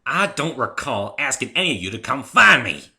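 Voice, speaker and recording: A man's voice: mid-range voice, sing-song, moderate pace, vocal fry, sharp tone, pitched above the performer's own voice, heavily acted. A man voicing a hearty, stubborn young farm mare, a folksy cartoon pony with a Southern country accent, in a story reading. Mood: annoyed.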